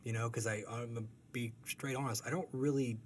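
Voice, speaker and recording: A man's voice: medium pitch, fairly even intonation, fairly quick, a little hiss or hum. A man who is a cartoonist, talking casually in an interview, rambling and correcting himself, laughing easily. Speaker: cartoonist guest.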